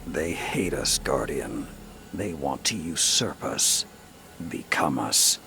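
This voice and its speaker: Extremely deep, slow commander. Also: male voice, expressive, full character voice. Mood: grim resolve. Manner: solemn, deliberate, commanding. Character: stern male commander of holy warriors, resolute, proud. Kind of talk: video game mission briefing narration. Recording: clear music bed underneath.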